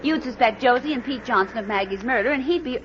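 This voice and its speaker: An actress playing a detective's chatty, teasing sweetheart in a 1940s radio crime drama, inquisitive and playfully affectionate. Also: female voice, fairly high pitch, fast talker, a bit bright, lightly acted. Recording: muffled old radio recording with clear hiss.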